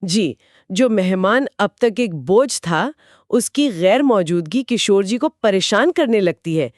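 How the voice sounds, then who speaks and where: A woman's voice: medium pitch, expressive, takes it slowly, darkish tone, no echo, clean studio sound. A woman co-host retelling a short story as scripted narration within a two-person discussion.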